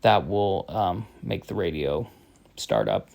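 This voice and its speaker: Man with a creaky, informal explaining voice. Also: sits low, very animated, takes it slowly, a little mellow. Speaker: product demonstrator. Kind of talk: informal step-by-step radio tutorial.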